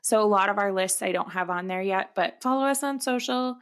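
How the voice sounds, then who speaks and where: A woman speaks as one of the hosts of a book podcast. Her pitch sits in the middle range for a woman. The delivery is casual, unscripted conversation, like talking with a co-host. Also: fairly quick, fairly bright tone, clean tone.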